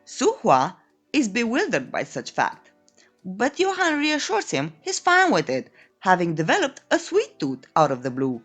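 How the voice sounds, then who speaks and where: Animated woman narrator with swooping pitch. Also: unhurried. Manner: playful, tongue-in-cheek, theatrical storytelling with flowery euphemisms. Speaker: recap narrator. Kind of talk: scripted comedic story recap narration. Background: background music.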